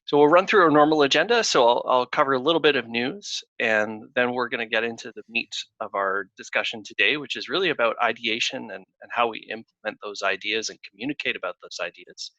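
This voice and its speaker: A man hosting a casual webinar over a video call, talking in a halting way with many fillers and restarts. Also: mid-range voice, sharp tone, no echo. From Canada. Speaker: webinar host, consulting firm founder. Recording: dull lo-fi video call audio.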